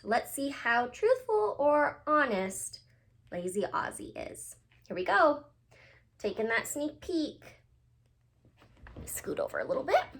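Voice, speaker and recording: A woman with a fairly high voice that swings widely in pitch. She speaks as a teacher to young children, reading and explaining with expressive emphasis. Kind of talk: animated storybook read-aloud and lesson for young children.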